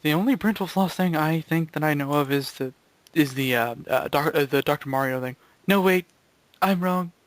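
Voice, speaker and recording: A man's voice: fairly high pitch, normal speed, no echo. A young man chatting casually and jokingly with his group, as a member of a gaming video crew. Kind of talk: rambling casual group chat.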